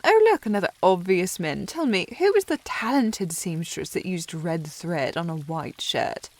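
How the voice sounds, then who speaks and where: A woman's voice: fairly low pitch, expressive, measured pace. A woman playing a snobbish, teasing young lady with haughty, formal phrasing in a historical romance ASMR roleplay. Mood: bossy, fussing. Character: snobbish, teasing young upper-class lady, secretly fond.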